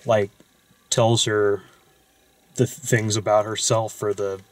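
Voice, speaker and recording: Casual male host, lowish voice, rambling film talk from the front seat of a car. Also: level tone, takes it slowly. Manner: loose and rambling, with frequent fillers and restarts. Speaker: movie discussion host. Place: parked car at night.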